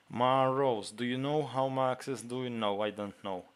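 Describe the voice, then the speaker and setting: Slow-talking man with a Ukrainian accent. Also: medium pitch, clear, resonant tone. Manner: reads viewer questions aloud, answers in halting English. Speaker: livestream host answering chat. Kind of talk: casual livestream Q&A.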